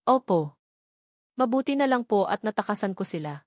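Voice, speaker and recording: A meek young maid, read by a woman narrator in an audiobook romance. She is deferential and grateful, with a mid-pitched voice and a very dry recording. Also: expressive, normal speed, no echo, close to an everyday voice. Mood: relieved, subdued. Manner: deferential, polite.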